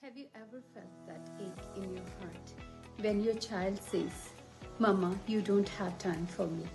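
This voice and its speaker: A woman from India giving a heartfelt, measured testimonial about family. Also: medium pitch, music in the background. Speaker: former daycare teacher sharing a testimonial. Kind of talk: emotional personal testimonial.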